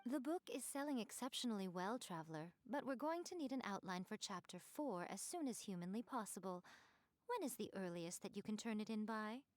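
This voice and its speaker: A woman plays a playful, poised fox spirit shrine maiden in a video game. Her mid-pitched voice lilts expressively with coy teasing. The sound is close and dry. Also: lively intonation, fairly quick, dry recording, close to an everyday voice. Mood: playfully prodding.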